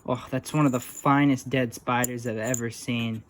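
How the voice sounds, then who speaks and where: A man's voice: mid-range voice, fairly even intonation, moderate pace. A man giving casual, unscripted show-and-tell commentary in a dry, wry manner, like a hobbyist vlogger. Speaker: vlogger showing old radio repairs.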